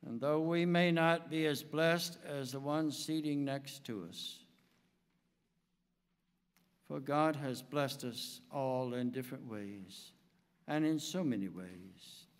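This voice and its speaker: A man in his seventies preaching and praying as a pastor, in a solemn, deliberate manner with measured phrasing. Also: mid-range voice. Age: 70s. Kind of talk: sermon and prayer. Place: large church sanctuary, indoors. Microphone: pulpit gooseneck microphone. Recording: long church reverb.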